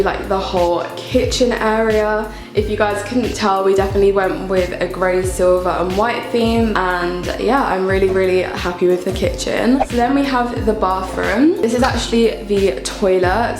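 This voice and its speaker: Chatty young British woman, echoey room. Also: mid-range voice. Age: young adult. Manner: chatty, informal, upbeat. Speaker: vlogger, university student. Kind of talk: casual room tour vlog. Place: indoors, small student flat. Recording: echoey room with music underneath.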